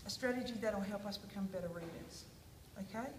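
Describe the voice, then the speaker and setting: A woman's voice: medium pitch, brisk, roomy sound, slight background noise. A woman with an Australian accent, teaching a small group of children a reading lesson, prompting them with questions and encouragement. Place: classroom.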